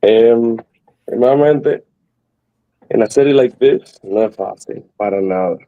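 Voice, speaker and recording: Young guy, spanish is his first language, kinda low voice, earnest and halting, lots of restarts. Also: vocal fry, narrow, tinny audio. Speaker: young guest. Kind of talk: earnest faith testimony.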